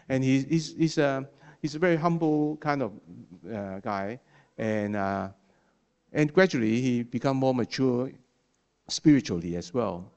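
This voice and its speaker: A man preaching to his congregation in English, his second language. He speaks haltingly, restarting words and leaning on fillers, and he often checks in with a quick "okay?" at the end of a point. His voice sits at an ordinary pitch for a man. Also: vocal fry, darkish tone, dull audio. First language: not English. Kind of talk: sermon.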